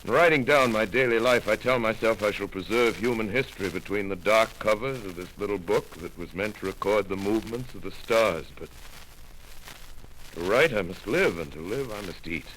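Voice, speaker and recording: A brooding, haunted astronomer, voiced by a man, left alone in the world. His deep, low voice halts between words as he speaks in a 1930s radio play. A faint hiss from the old broadcast sits under every line. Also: a bit bright, close to an everyday voice, low-quality recording. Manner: brooding, halting, introspective. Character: lone shaken male astronomer, middle-aged survivor. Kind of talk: 1930s radio drama monologue.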